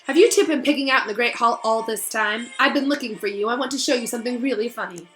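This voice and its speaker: A man's voice: very high voice, fast, a bit bright, roomy sound. A woman voicing an arrogant, sneering teenage schoolboy with a drawling, scornful delivery for a storybook.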